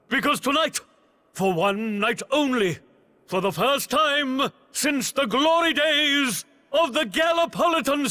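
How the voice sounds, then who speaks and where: High-voiced bombastic circus ringmaster. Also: male voice, sharp tone, long reverb tail, heavily acted. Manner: bombastic, grandiloquent. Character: grandiose male circus ringmaster, showy announcer. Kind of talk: big-top announcement, video game acting.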